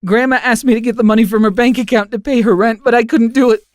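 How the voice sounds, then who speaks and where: A man's voice: fairly even intonation, quick pace, no room sound. A man voicing a tearful teenage boy pleading for forgiveness. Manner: pleading, tearful, high-strung.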